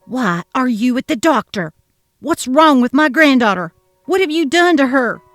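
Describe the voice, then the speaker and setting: A woman voicing a jealous, demanding grandmother who talks loud and shrill. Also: expressive, moderate pace, no room sound, soft background music. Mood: shrill, frantic. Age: older. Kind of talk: dramatic reading of a text-message story.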